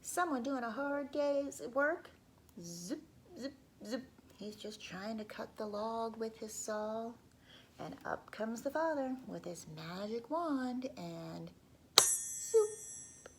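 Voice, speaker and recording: A woman reading a folk tale aloud to children, pausing to ask them questions and dropping into whispers. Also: a little high, lively intonation, a bit bright, slight background noise. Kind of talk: children's read-aloud storytelling.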